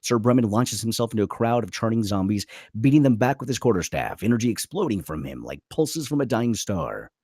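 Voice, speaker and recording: A man's voice: fairly low pitch, sing-song, brisk, darkish tone, slightly rough, no room sound, clean studio sound. A man narrating a screenplay dramatically at a table read.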